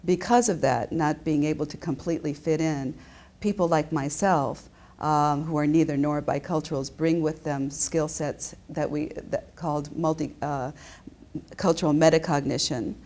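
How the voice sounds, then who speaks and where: A woman's voice: deep voice, fairly even intonation, moderate pace, a little mellow. A woman in her fifties who grew up in Japan, a business school professor answering interview questions in an explanatory way, often restarting her sentences. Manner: explanatory, with frequent restarts and fillers. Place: indoor office.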